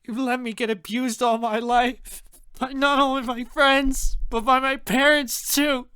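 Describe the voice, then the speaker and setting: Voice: high voice, steady tone, a little slow, sharp tone, clear, resonant tone, dry recording, voice pushed up. A narrator voicing a distraught, heartbroken young protagonist in an audiobook, crying and pleading in a choked-up, strained voice. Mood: bitter, wounded.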